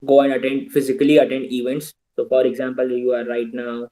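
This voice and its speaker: A young Indian man talks students through his advice over a video call. His voice is mellow and dark, his pitch in the middle. He sounds earnest and practical, now and then slipping into Hindi. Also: flat delivery, fairly quick, rough recording. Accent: Indian English. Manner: earnest, advising, switches into Hindi asides. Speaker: guest speaker. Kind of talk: online talk giving advice to students.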